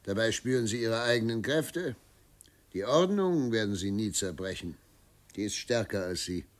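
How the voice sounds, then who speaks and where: A man playing an authoritarian middle-aged governor and father in a radio drama, speaking in a measured, declamatory and patronizing way. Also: lowish voice, animated, natural voice. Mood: confident, patronizing. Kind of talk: German radio drama acting.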